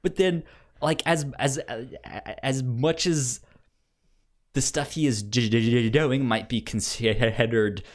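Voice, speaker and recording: A man co-hosting a podcast in casual chat, halting and repeating words as he searches for them. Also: mid-range voice. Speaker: podcast co-host reviewing anime.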